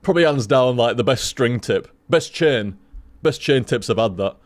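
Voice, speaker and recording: A man's voice: mid-range voice, lively intonation, moderate pace, no echo. Swearing Yorkshire man chatting to stream. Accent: Yorkshire, softened. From Leeds, Yorkshire, England. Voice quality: swears freely, laughs often, repeats himself. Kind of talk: casual rambling stream chat.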